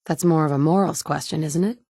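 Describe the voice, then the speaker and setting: An actress voicing a determined, pragmatic survivor in a video game, speaking firmly and directly. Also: female voice, sits low, fairly quick, dark tone, no room sound, studio quality. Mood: casual. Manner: firm and direct, shifting between stern and sympathetic. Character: determined adult woman, pragmatic wasteland survivor. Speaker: player character. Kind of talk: game dialogue voice acting.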